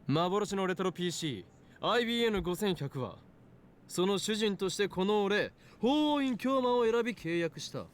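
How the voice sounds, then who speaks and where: Pompous, quick-talking young mad scientist. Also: male voice, high voice, lively intonation, slight background noise. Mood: grandiose, insistent. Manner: theatrical, pompous, declamatory. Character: grandiose young male self-styled mad scientist. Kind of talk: Japanese anime visual novel acting. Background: game music.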